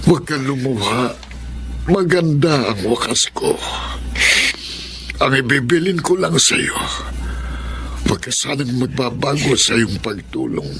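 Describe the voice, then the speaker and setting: A man playing a gentle, contented old doctor and mentor on his deathbed, solemn and fatherly, in a Filipino radio drama. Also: medium pitch, animated, unhurried, long pauses. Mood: solemn urging. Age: older. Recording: noisy ambience behind the voice.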